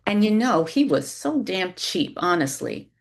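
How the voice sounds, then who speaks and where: A woman's voice: medium pitch, sing-song, unhurried. Woman acting out a brash, self-absorbed aging mother. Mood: complaining. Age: older. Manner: brash, monologuing, interrupting.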